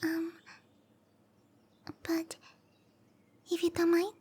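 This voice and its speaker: Casting: petite young cowgirl mage for fantasy roleplay ASMR; very high-pitched, unhurried, hesitant, bashful, eager to prove herself. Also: completely flat delivery, heavily acted. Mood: flustered. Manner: bashful, hesitant, breathy murmurs between bright chatter. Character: tiny young cowgirl monster girl, shy eager support mage. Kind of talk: roleplay ASMR, anime-style character acting.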